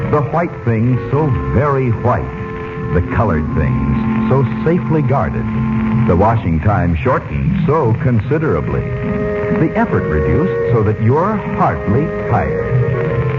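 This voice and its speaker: Animated male 1940s radio announcer, music behind. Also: lowish voice, narrow, tinny audio. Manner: chatty, direct address to the listener.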